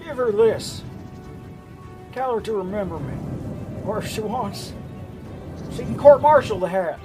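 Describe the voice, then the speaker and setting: Man acting strained, high-voiced desperate army sergeant. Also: animated, a little slow, over music. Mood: urgent, resigned. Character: desperate, self-sacrificing army sergeant in battle.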